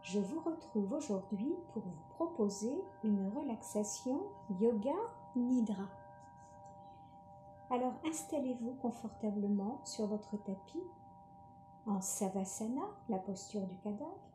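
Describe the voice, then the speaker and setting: Female meditation guide, very soft dark timbre, soothing and unhurried, recorded in a roomy space. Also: mid-range voice, a little hiss or hum. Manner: calm, unhurried, gentle instructing. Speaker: yoga nidra relaxation guide. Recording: roomy, reverberant sound.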